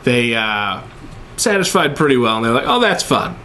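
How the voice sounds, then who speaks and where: A man's voice: medium pitch, sing-song, moderate pace, pushed and bright. A man in his thirties chatting casually as co-host of an entertainment show. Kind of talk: casual chat about video games. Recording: noisy room recording.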